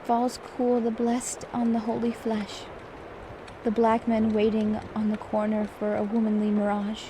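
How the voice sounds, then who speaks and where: A woman plays a poised and exacting maid in an ASMR roleplay. Her soft voice sits a little high, and her delivery is formal, measured and prim. Ambient noise is clearly audible behind her. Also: monotone, natural voice. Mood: serene, tender. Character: poised, particular, competitive young maid servant. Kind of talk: soft-spoken ASMR roleplay with poetry reading.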